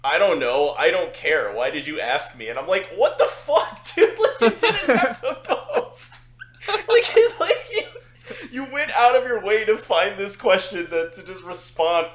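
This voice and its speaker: A man's voice: sits high, expressive, measured pace. Sweary man chatting on a podcast. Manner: mimics others in quotes, swears freely. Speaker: podcast co-host. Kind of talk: casual podcast banter.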